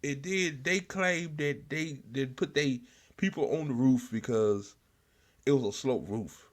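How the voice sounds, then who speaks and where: A man hosting an informal political talk show, speaking in a blunt, sarcastic, profanity-heavy way with halting repetition. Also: mid-range voice. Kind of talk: informal political rant with commentary.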